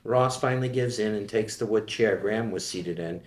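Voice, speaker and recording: A man's voice: lowish voice, fairly quick. A man reading screenplay stage directions in a plain, matter-of-fact way. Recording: roomy echo.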